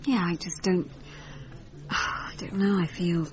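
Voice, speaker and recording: A woman's voice: fairly low pitch, steady tone, slow pace. An actress playing a weary, uneasy young woman, hesitant and subdued, in a naturalistic radio drama. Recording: muffled, chatter behind.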